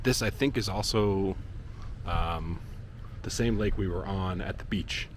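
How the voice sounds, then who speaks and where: A man's voice: low-pitched, a little slow, background noise. Easygoing man vlogging outdoors. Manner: easygoing, chatty, many fillers, walking while talking. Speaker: travel vlogger. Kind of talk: casual travel vlog narration. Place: outdoors by a lake and parking lot. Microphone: handheld action camera at arm's length.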